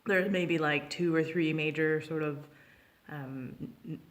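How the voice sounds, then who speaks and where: A woman's voice: low-pitched, unhurried. A young adult woman with a Canadian accent tells a personal anecdote in an informal, chatty vlog style. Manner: animated when acting out dialogue, informal. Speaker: storytelling vlogger. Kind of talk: casual anecdotal storytelling.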